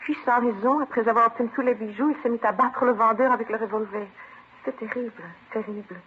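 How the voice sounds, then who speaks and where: Actress as a fast-talking French war bride. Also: female voice, fairly high pitch, steady tone, lightly acted. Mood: shaken. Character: frightened young French war bride recounting a holdup. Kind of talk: old-time radio drama acting. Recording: muffled vintage radio with clear hiss.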